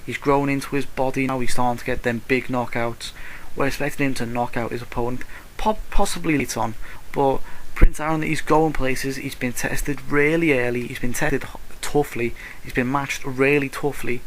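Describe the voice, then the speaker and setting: British guy doing a boxing vlog, normal pitched voice, blunt slangy opinions, bit of noise behind him. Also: fairly even intonation, normal speed, a bit bright, low-quality recording. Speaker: boxing vlogger. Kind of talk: casual solo sports commentary.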